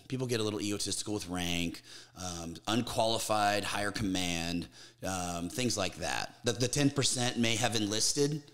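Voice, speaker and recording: Candid, low-voiced man in his thirties. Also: pushed and bright. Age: 30s. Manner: candid, swears freely, frequent restarts. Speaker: podcast guest. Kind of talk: casual interview chat.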